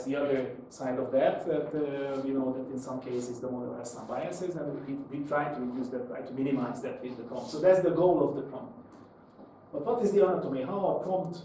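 A man's voice: a little high, mellow. A man presenting a live technical lecture, explaining by posing questions and answering them, with non-native English phrasing. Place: small indoor meetup room with seated audience. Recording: echoey room, distant mic, faint noise.

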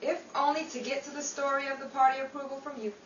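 A woman's voice: high voice, level tone, fast, highs cut off, natural voice. A young woman playing an eager, exasperated 1950s newspaper columnist in theatrical radio comedy style. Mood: flustered. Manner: theatrical, protesting. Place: small room staged as radio studio. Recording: roomy, distant mic, clear noise.

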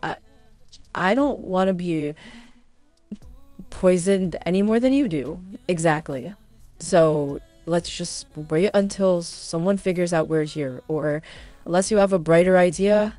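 A woman playing an anxious, sarcastic young woman in an animated roleplay drama, often speaking hesitantly. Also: on the low side, a little slow, dry recording, lightly acted. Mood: sarcastic, annoyed.

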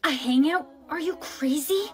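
Woman doing an anxious teen girl, high voice, dramatic comedy skit acting. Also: unhurried, darkish tone. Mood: nervous. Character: anxious, easily panicked teenage schoolgirl.

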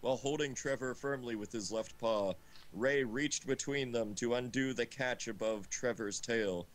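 A man's voice: medium pitch, moderate pace. A man reading a story aloud in an amateur, informal way on a group livestream. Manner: breaks into laughter and asides. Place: voice chat on a game livestream.

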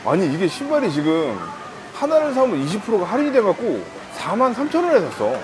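A man's voice: high voice, huge pitch swings, a little fast. A man talking casually as a vlog host, with a playful, teasing manner. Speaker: vlog host, husband in a couple channel. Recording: busy noisy urban public places.

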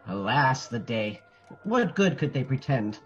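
A man's voice: mid-range voice, sing-song, measured pace, dull audio, soft background music. A man playing a worried nobleman. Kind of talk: amateur radio drama acting.

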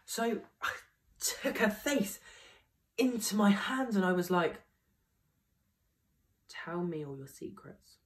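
A man's voice: sits high, lively intonation, slow pace, long pauses. A young man playing a rambling party-boasting lad. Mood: wistful. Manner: rambling storytelling full of "like". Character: excitable young man recounting a wild party. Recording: voice picked up from a distance.

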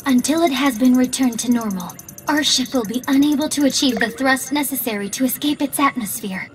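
A woman's voice: high-pitched, fairly quick, natural voice. A woman voicing a holographic ship computer in a video game, speaking in a precise, formal, composed and literal way. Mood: analytical. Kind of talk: video game cutscene acting. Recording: faint game music behind.

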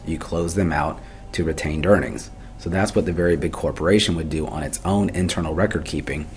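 A man's voice: low-pitched, a little mellow, slight background noise. A man giving an explanatory tutorial lecture as an instructor, methodical and step by step, often posing questions and then answering them. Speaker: accounting instructor.

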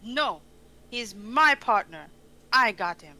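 Woman playing a bright-voiced, high, tough heroine. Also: expressive, slow, full character voice. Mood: determined. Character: tough, hot-tempered woman monster investigator with elemental powers. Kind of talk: amateur script table read.

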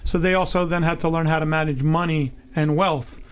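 Animated male guest telling stories. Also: fairly high pitch, brisk, clean tone. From Long Island, New York. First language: Greek. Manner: animated, laughing storyteller. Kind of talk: storytelling in an interview.

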